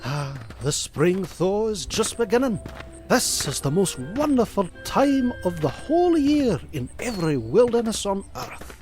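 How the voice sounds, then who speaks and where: Man acting tough, high-pitched, swinging prospector duck. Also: sing-song, measured pace, darkish tone, soft background music. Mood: wistful. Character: gruff, tough, proud cartoon duck prospector, young Scottish adventurer. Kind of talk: fan comic dub voice acting.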